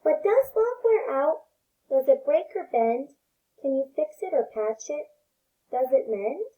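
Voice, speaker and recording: Woman voicing a plaintive, high little storybook creature. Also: sing-song, a little slow, phone-quality sound. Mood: worried. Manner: plaintive, questioning, childlike. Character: small grumpy, needy young creature seeking love.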